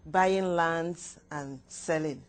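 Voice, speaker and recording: A Nigerian woman whose first language is Igbo, answering interview questions haltingly, with restarts and short yes answers. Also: deep voice. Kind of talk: recorded counseling interview.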